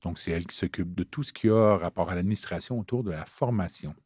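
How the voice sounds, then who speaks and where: A man's voice: deep voice, fast talker, darkish tone, husky, dry recording, studio quality. A man in his fifties with a Canadian French accent, a Scrum trainer telling his story casually with fillers in an interview.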